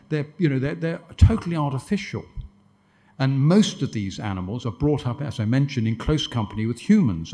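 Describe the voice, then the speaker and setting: Man, mid-pitched, conference speaker, dry wit, rambling restarts. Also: dark tone. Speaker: guest lecturer answering questions. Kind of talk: conference Q&A answers.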